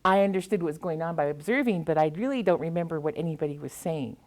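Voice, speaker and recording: American woman, slightly low pitch, reflective storyteller, poet at a public reading. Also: lively intonation, quick pace, darkish tone. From US Pacific Northwest. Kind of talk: anecdotal talk and poetry reading to an audience.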